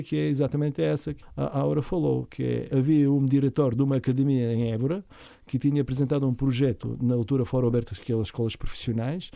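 A middle-aged man from Bulgaria speaking with a Bulgarian accent, recounting his story in an interview in a halting way, with restarts. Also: mid-range voice, gravelly, warm tone, dry recording, clean studio sound. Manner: halting, with frequent restarts and fillers. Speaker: interview guest, violinist and orchestra founder.

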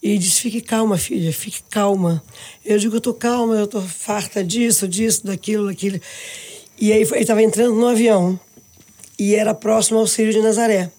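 A woman's voice: medium pitch, fairly even intonation, brisk. Devout Brazilian woman from Pará. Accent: Brazilian Portuguese. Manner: warm, devout, emotional storytelling with many restarts. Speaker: interview guest. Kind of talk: casual interview talk.

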